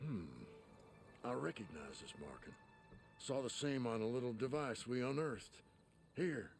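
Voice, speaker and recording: An actor playing a hearty old wordsmith in a video game, telling things in a grandiose, theatrical, gravelly voice. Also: male voice, mid-range voice, lively intonation, measured pace. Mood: curious, jovial. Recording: music behind the voice.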